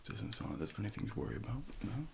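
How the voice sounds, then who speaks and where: A man in his thirties speaking softly and gently in an ASMR personal trainer role-play, close to the microphone with unhurried, hesitant pauses. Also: big pitch swings, heavy background noise. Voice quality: soft, breathy, gravelly low voice.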